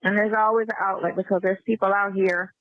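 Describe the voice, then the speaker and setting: A woman's voice: mid-range voice, steady tone, fairly quick, no echo. A woman chatting casually on a phone call, slangy and given to repeating herself. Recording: muffled played-back phone call.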